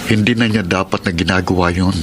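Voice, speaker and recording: Deep-voiced aggrieved husband, very noisy. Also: male voice, fairly even intonation, fast talker. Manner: aggrieved, earnest. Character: hurt, suspicious husband confiding his marital troubles. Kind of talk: Filipino radio drama acting and narration.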